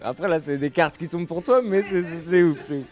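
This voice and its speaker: High-pitched man calling a poker game. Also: fairly quick. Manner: animated, informal, exclaiming. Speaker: poker dealer and announcer calling hands. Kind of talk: live poker commentary. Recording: music playing faintly behind the voice. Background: faint music.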